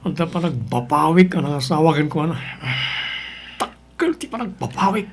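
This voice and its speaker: A man plays a stubborn, troubled husband in a Filipino radio drama. His voice sits a little high for a man, and he speaks with a Filipino accent. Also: lively intonation, normal speed, slightly rough. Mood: confessing, troubled. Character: troubled, stubborn young husband, fallen out of love. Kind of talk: Ilocano radio drama acting.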